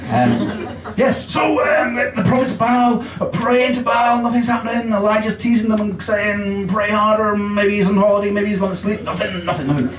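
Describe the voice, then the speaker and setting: A man's voice: high voice, moderate pace, distant mic, background noise. English man doing stand-up in an echoey basement. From England. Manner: chatty and self-deprecating, with frequent uh fillers. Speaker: stand-up comedian. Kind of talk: stand-up comedy set. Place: basement comedy club.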